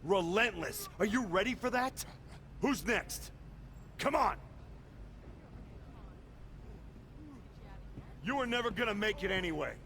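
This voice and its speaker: An actor playing a merciless pro wrestler villain in a video game, menacing and taunting. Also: male voice, high voice, expressive, moderate pace, sharp tone, slight background noise, full character voice. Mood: aggressive. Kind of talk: video game cutscene acting.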